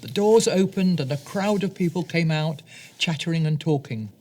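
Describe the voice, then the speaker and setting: A woman narrating a scripted children's story as a storyteller, reading gently and deliberately. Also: low voice.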